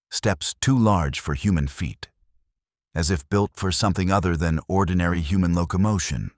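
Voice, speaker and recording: A man reading a scripted sleep meditation narration in a solemn, unhurried, soothing way. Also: extremely low pitch, no room sound, clean studio sound.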